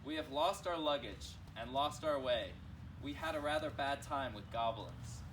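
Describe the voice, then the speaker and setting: A man playing a wise, commanding old wizard in an amateur readers' theater, reading aloud with deliberate, halting delivery. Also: medium pitch, natural voice. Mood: polite. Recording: noisy outdoor recording with traffic hum.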